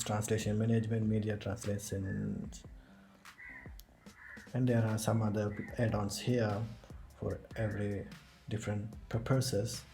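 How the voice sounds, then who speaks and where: A man with a fairly low voice explains a software setup one step at a time, narrating his clicks as he goes. Music plays clearly underneath him throughout. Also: rough recording. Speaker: tutorial host. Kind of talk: screen-recorded software tutorial.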